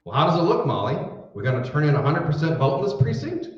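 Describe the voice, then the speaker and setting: A man's voice: on the high side, big pitch swings, fast, some chatter behind, a little hiss or hum. A man playing a folksy, brash, joking husband in 1940s-style radio comedy. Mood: brisk, folksy. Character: wisecracking, folksy husband running a polling place. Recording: echoey hall, distant mic, dull sound.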